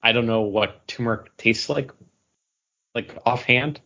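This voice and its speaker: A man co-hosting a podcast, talking casually in a hesitant, halting way. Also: mid-range voice, bright, forceful tone, muffled recording. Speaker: podcast co-host. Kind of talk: casual drink review.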